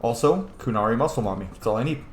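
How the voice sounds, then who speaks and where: A man's voice: mid-range voice, animated, fast talker. Casual, joking man in an echoey room. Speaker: host of a gaming channel. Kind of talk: casual let's play commentary, role-playing in-game dialogue. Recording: roomy echo, faint background noise.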